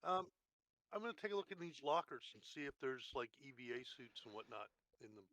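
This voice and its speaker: A man's voice: mid-range voice, very animated, brisk, a bit bright, no echo, low-quality recording. A man chatting casually as a player in a tabletop roleplaying game.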